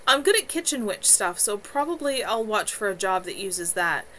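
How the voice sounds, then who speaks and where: A sensible young witch who calmly teases her friends, read by a woman. Matter-of-fact and fond, in a bright, lively voice. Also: medium pitch, normal speed, slight background noise. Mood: wry. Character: young female kitchen witch, sensible and wry.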